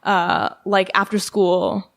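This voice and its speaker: A woman's voice: fairly low pitch, big pitch swings, takes it slowly, vocal fry. Chatty young woman telling stories. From New Jersey, USA. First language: Serbian. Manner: chatty, many "like" fillers, storytelling. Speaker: podcast guest. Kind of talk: casual conversational interview.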